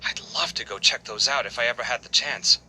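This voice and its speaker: An actor playing a friendly, earnest young architect who talks in an eager, chatty way. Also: male voice, medium pitch, a little fast, bright, forceful tone, slight background noise, low-quality recording. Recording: game voice line over score.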